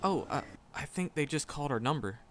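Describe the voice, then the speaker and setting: A man's voice: a little high, animated, fairly quick, crowd noise, natural voice. A man playing a shy, nervous magic professor in a roleplay audio drama, skittish and earnest, stammering and repeating words as he talks to the listener. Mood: shy, pleased.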